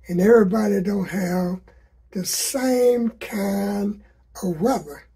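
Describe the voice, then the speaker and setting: Hoarse gardener, slow and folksy, very husky mellow voice, talks to viewers outdoors. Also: male voice, high voice. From South Carolina. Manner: slow, folksy, repeats sayings to drive a point home. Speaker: gardening vlogger. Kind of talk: casual advice to viewers.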